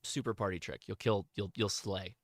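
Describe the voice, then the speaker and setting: A man's voice: lowish voice, fairly even intonation, normal speed, no echo, clean studio sound. A man hosting a livestream, answering chat questions in a casual, informal, enthusiastic hobbyist way.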